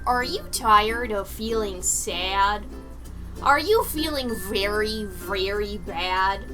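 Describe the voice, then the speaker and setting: A woman playing a pitchwoman in a parody commercial, delivering a slow, deliberate, oddly gloomy-sweet sales pitch. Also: expressive, sharp tone, faint music behind. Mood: mock soothing.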